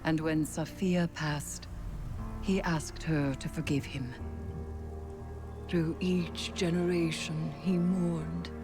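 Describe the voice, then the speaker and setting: Slow, solemn low-voiced storyteller. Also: female voice, steady tone. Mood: solemn, mournful. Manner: grave, deliberate. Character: solemn female storyteller recounting an old sorrow. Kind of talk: scripted narration in a game cutscene. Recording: dramatic music behind the voice.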